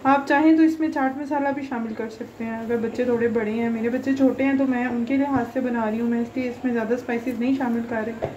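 A woman's voice: a little high, steady tone, quick pace, darkish tone, highs cut off, slight background noise. A young woman hosting a home cooking channel, explaining a recipe step by step in a chatty, homely way with many fillers. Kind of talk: casual cooking tutorial voice-over. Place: home kitchen.

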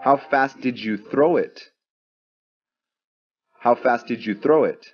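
Slow, deliberate male English teacher. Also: medium pitch, lo-fi sound, faint music behind. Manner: reads each sentence twice, deliberately. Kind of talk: slow, repeated drill sentences for learners.

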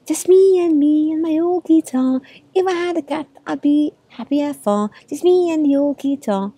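A woman's voice: high-pitched, normal speed, warm tone, dry recording, pitched above the performer's own voice. A woman voicing a male street busker who is fond of his cat, in a singsong picture-book read-aloud. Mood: wistful singing. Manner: singsong, exclaiming.

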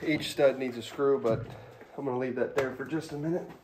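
A man's voice: medium pitch, fairly even intonation, a little fast, music in the background, poor audio. A man explaining plumbing work plainly and practically while working in an unfinished basement. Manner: plain, practical, matter-of-fact. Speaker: co-host doing the plumbing. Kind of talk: casual DIY explanation.

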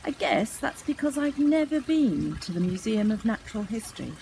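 A woman's voice: a little high, very animated, moderate pace, background noise. Woman playing elderly grandmother, storybook, curious, good-humoured, agreeable, very varied intonation. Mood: surprised.

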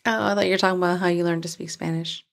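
Creaky-voiced woman co-hosting a podcast. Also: lowish voice, steady tone, rapid-fire. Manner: chatty, teasing, laughs often. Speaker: podcast co-host. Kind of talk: casual chat.

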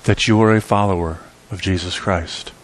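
A middle-aged man preaching in a church, speaking from a lectern with emphatic, rhythmic delivery that swings between loud peaks and quiet drops. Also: fairly even intonation, normal speed, slight background noise. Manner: emphatic preaching with sweeping pitch and volume swings. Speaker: pastor. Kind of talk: sermon. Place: church sanctuary.

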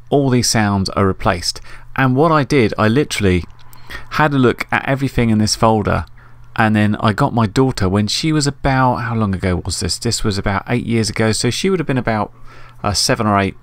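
A man's voice: fairly low pitch, a little fast, no echo. A middle-aged man narrating a casual tutorial in an informal, explanatory, conversational way. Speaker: host of an RC radio tutorial.

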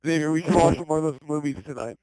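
A man's voice: on the high side, very fast, dark tone, low-quality recording. A teenage boy on a gaming headset who keeps teasing his friends with joking jabs while playing. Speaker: gamer in voice chat. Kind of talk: casual party chat while playing.

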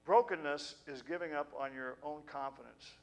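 A middle-aged man pastor giving an earnest, conversational sermon and reading scripture at a pulpit mic in a small church. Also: fairly high pitch. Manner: earnest, conversational, with halting restarts. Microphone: pulpit stand mic.

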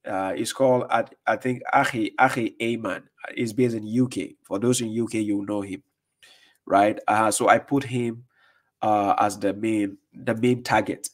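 A man's voice: fairly low pitch, steady tone, unhurried. A man in his thirties hosting a casual livestream, reading viewer comments aloud with many "uh"s and "right?"s, his voice slightly worn from a recent cold. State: recovering from losing his voice. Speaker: livestream host. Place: dark room with curtains, indoors. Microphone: desk condenser mic in front.

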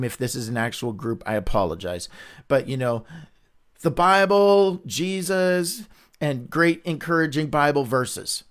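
Earnest candid man leading Bible study. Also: medium pitch. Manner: earnest, candid, conversational teaching; rambling with frequent restarts. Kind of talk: livestreamed Bible reading and discussion.

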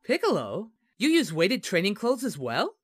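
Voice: medium pitch, huge pitch swings, normal speed, husky, dry recording, clean studio sound. An actor voicing a naive, childlike, cheerfully dim male martial arts hero in a fan parody cartoon dub, with a guileless, eager manner. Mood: curious, naive.

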